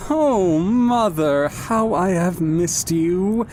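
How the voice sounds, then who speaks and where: A woman's voice: very slow, darkish tone. A woman playing a sly, fawning son of a villain in an audio drama, hesitant and ingratiating. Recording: clear rumble and background noise.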